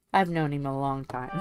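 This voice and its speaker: A woman in her forties with a young-sounding, soft voice, chatting casually while she crafts on a livestream. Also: low voice. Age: 40s. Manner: soft, sleepy-sounding delivery.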